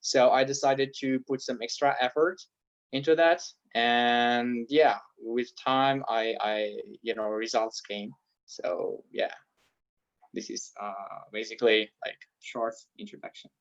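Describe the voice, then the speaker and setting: A young man talks through his answers in a relaxed interview. He hesitates often and fills pauses with little sounds. His voice sits in a middle pitch, and the recording sounds dull and lo-fi. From Algeria. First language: Arabic and French. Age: 20s. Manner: hesitant, many fillers. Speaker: guest. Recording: dull lo-fi audio.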